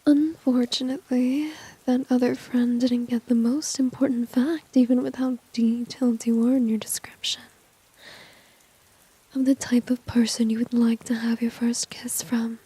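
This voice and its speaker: A woman acting a confident, flirty, dominant villain in an ASMR roleplay, whispering softly and teasingly with smug self-assurance. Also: fairly high pitch, level tone, low-quality recording. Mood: sly, self-satisfied. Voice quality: breathy, soft whisper. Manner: slow, teasing, smug, coaxing.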